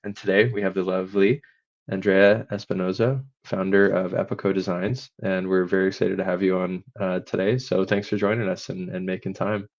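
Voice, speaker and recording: Man, podcast host, flat gravelly voice, dry dull lo-fi sound. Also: deep voice, brisk, no echo. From Canada. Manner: rambling, hesitant, many fillers and restarts. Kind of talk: casual podcast interview.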